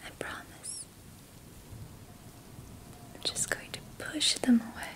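Slow whispering young woman. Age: young adult. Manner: soothing, reassuring, gentle, with long pauses. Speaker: ASMR host doing a healing roleplay. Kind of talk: whispered ASMR guided relaxation. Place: small indoor room at night.

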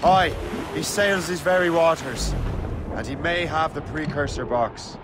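An actor playing a bold young ship captain who speaks in a confident, commanding way. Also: male voice, fairly high pitch, measured pace, background noise. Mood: calm.

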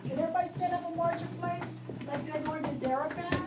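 A woman's voice: very high voice, steady tone, fast. A middle-aged woman reading a Bible story to preschool children in a church classroom, with prompts for them to join in. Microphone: far camera. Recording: echoey room from a distance.

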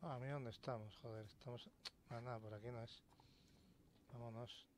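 Male let's player, Castilian Spanish accent, average pitch, casual and sweary commentary over noticeable horror game ambience. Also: steady tone, normal speed, a little mellow. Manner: informal, swears often, mutters to himself. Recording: clear game ambience noise behind. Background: sci-fi horror game sound.

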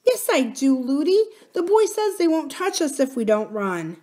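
A woman voicing an earnest, polite little princess in a storybook, childlike and gently insistent. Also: high voice, big pitch swings, normal speed, pitched above the performer's own voice.

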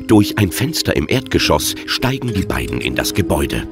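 A man's voice: low voice, very animated, normal speed, over loud music. A man narrating a children's audio drama as a scripted storyteller.

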